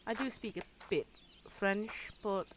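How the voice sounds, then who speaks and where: A woman's voice: mid-range voice, unhurried. Chatty Danish-accented woman streamer, noisy. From Denmark. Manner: chatty, laughs often, talks to chat while playing. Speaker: gaming streamer. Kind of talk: casual livestream commentary.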